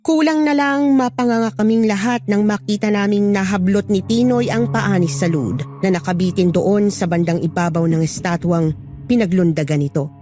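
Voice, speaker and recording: Female narrator, mid-pitched, scripted horror storytelling, music behind. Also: normal speed, dark tone.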